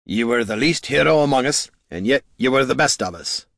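A man voicing a gruff, loyal dwarf hero with a blunt, emphatic and hearty way of talking. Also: mid-range voice, lively intonation, a little fast, a bit bright, dry recording, clean studio sound, pitched above the performer's own voice. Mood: solemn, heartfelt.

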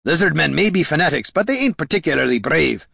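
A man voicing a gruff, bossy, impatient warrior leader in a fantasy audiobook, with a blunt folksy bark. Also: fairly high pitch, big pitch swings, normal speed, fairly bright tone, no echo, clean studio sound, pitched above the performer's own voice, full character voice. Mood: commanding shout.

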